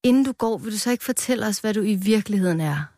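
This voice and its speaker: A woman's voice: medium pitch, expressive, dark tone, no echo, clean studio sound. A woman voicing an old, curious strawberry elder in a children's story, friendly but blunt.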